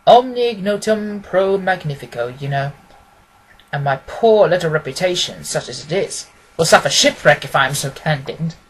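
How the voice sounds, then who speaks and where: A woman voicing a confident, quick-witted gentleman detective from a storybook, precise and amused. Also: sits low, expressive, a little hiss or hum, poor audio. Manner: precise, expository, assured.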